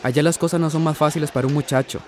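A man's voice: fairly high pitch, steady tone, rapid-fire, a little mellow, slight background noise, close to an everyday voice. An actor playing a polite, concerned young townsman in a radio drama, hesitant and courteous.

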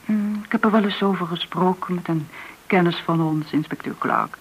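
A woman acting in a radio play as a businessman's confiding friend, tentative and at times soft-spoken. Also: on the low side, moderate pace, close to an everyday voice. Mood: troubled. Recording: dull, noisy old radio recording.

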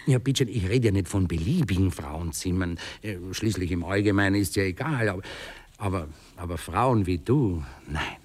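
An actor with a Viennese accent playing a courtly, hesitant aristocratic cavalry officer who stammers politely and philosophises in a 1960s radio play. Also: male voice, sits low, animated, normal speed, darkish tone. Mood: awkwardly persuasive. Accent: Viennese Austrian. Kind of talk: 1960s German-language radio drama acting.

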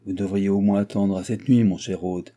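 A man's voice: sits low, fairly even intonation, normal speed, mellow, natural voice. A man voicing a cynical, proud old baron in an audiobook, ranting in sardonic exclamations. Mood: mocking. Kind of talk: audiobook character dialogue.

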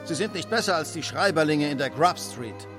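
A man's voice: fairly high pitch, expressive, moderate pace, music in the background, natural voice. An actor playing a brilliant, haughty male detective in a radio play, speaking in a precise, superior manner. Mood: scornful.

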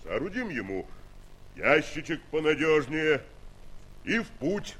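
A man's voice: on the high side, very animated, measured pace. An actor playing a coaxing, money-minded giant farmer and father in an audio play. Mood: soothing, coaxing. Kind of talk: Soviet audio play acting. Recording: 1970s studio recording with faint hiss.

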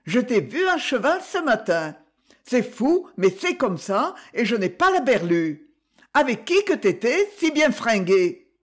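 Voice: medium pitch, big pitch swings, measured pace, pitched above the performer's own voice. A narrator voicing a jealous, passionate young Parisian woman who pleads insistently in street slang.

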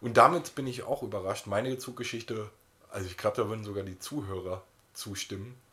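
Low-voiced man hosting a casual podcast. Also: fairly quick, a bit bright. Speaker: podcast host. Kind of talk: casual banter.

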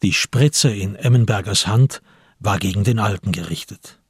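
A man narrating a literary crime story for a radio play in a husky voice, measured and grave. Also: lowish voice, dry recording, studio quality. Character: sober male storyteller of a crime tale.

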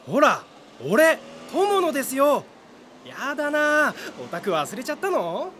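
A man's voice: very high-pitched, huge pitch swings, unhurried, a bit bright, a little hiss or hum, full character voice. A man playing a chummy, flighty young guy in a radio drama, casual and over-familiar. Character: chummy, flighty young male acquaintance, event-going geek.

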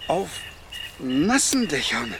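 A man's voice: a little high, sing-song, takes it slowly, background noise, full character voice. An actor in a radio drama playing a stubborn, dreamy widowed pensioner in his sixties, solemn in verse, hesitant and dry in talk. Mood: solemn, wistful. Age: 60s.

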